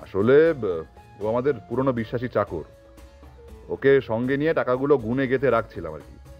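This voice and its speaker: A man's voice: medium pitch, lively intonation, moderate pace, lo-fi sound, faint music behind, close to an everyday voice. A man playing an irritable, scheming householder and master in a Bengali audio story, in an exasperated, colloquial manner. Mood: smoothly placating.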